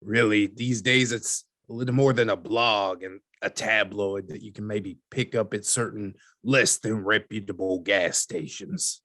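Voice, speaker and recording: A man acts out a folksy newspaperman being interviewed in a podcast drama. His voice sits on the low side and is recorded very dry. He speaks in measured phrases, wryly dismissive and a little condescending. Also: steady tone, pushed and bright, no room sound.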